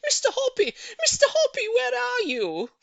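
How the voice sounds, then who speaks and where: Woman acting an excitable, shrill older lady neighbour. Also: moderate pace, creaky, dry recording, heavily acted. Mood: thrilled. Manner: exclamatory, gushing.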